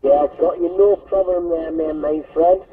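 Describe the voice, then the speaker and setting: A man's voice: fairly high pitch, steady tone, moderate pace, slightly rough. A man with a Northumbrian English accent making contact as a CB radio operator. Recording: noisy, muffled CB radio transmission.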